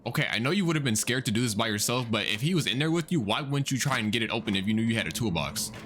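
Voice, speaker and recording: A man hosting a reaction video, excitedly talking through a horror story, swearing and thinking out loud. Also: medium pitch, racing, a little hiss or hum. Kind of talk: casual reaction commentary.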